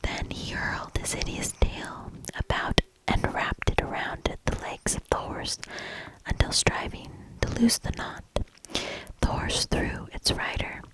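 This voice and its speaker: A woman reading a fairy tale aloud in a breathy, hushed ASMR whisper with a measured delivery. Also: rough recording. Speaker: ASMR storybook reader.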